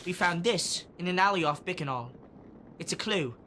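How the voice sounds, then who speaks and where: An eager young urchin who leads the gang and looks out for it. The voice sits fairly low and swings about expressively, with some background ambience, in audio drama style. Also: female voice, lively intonation, moderate pace, sharp tone, slight background noise. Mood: eager, insistent. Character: Wiggins, earnest young street-urchin leader, eager and protective.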